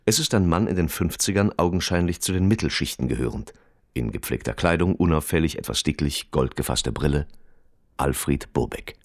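A man's voice: extremely low pitch, expressive, moderate pace, dry recording, clean studio sound, exaggerated voice. A man playing a radio reporter who narrates a crime case in a formal, precise, matter-of-fact documentary style. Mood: sober, factual.

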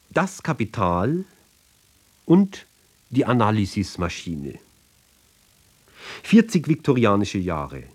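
A man giving a sober, measured literary reading for radio, phrase by phrase with deliberate pauses. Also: medium pitch, a little mellow. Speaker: reader of literary ballads.

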